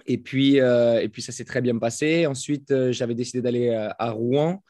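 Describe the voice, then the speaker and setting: A French man who just turned thirty, guest on a podcast, speaking in a halting way full of fillers and restarts. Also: mid-range voice, steady tone, warm tone, no echo, studio quality. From France. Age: 30s. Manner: hesitant, many fillers and restarts. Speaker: guest, fitness coach. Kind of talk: casual interview talk. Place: home in Florida, over a call.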